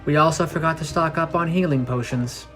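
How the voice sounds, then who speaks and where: A man's voice: a little high, brisk, fairly bright tone, faint music behind, close to an everyday voice. A man voicing a kindly, frank female cleric in a fantasy storybook reading. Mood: matter-of-fact. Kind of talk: storybook character dialogue.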